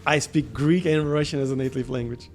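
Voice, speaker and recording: A man's voice: mid-range voice, steady tone, a little fast, darkish tone, soft background music. A man hosting a casual interview over a video call. He talks with enthusiasm and often fills his speech with "uh" and "like". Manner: enthusiastic, many fillers like "uh" and "like". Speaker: interview host.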